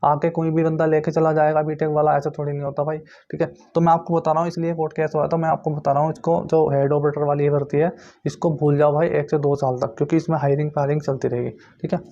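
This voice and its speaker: A man's voice: a little high, level tone, fast, creaky, warm tone. A man giving an informal explanatory update to viewers, repeating his points insistently and checking in often. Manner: repetitive, insistent explaining with frequent check-in tags. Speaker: YouTube host giving exam recruitment updates. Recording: muffled lo-fi recording.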